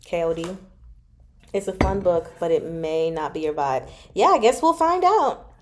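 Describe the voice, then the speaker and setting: A woman chatting casually as a livestream host, informal and expressive, drawing out words for emphasis as she answers viewers. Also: on the low side, a little slow, background noise. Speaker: host of a book club livestream. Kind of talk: casual chat, reading and answering viewer comments.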